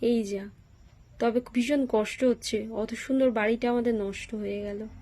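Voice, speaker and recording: A woman's voice: fairly high pitch, steady tone, moderate pace, mellow, natural voice. A woman voicing a weary, friendly elder brother in a homemade doll story. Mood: sorrowful. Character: weary, friendly elder brother who lost his house.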